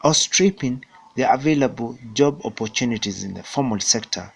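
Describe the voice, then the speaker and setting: A man's voice: mid-range voice, gravelly, low-quality recording. A man with a Kenyan accent reading a scripted news report as the reporter.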